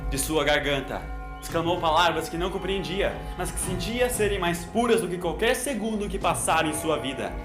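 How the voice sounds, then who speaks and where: A man's voice: a little high, expressive, normal speed. Brazilian man narrating in a roomy echo. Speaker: audio drama narrator. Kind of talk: dramatic scripted story narration. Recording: roomy echo with music underneath.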